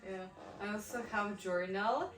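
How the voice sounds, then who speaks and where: A young woman with a Ukrainian accent, chatting casually and thoughtfully, pausing with many fillers. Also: mid-range voice, a bit bright, echoey room, recorded from a distance, soft background music. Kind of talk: casual chat.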